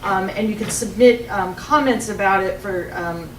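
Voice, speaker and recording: A woman who is a teacher giving an informative public comment in a loud teacher voice, with many ums. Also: mid-range voice, moderate pace. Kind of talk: public comment at a board meeting. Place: township hall meeting room. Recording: echoey room from a far mic, noisy.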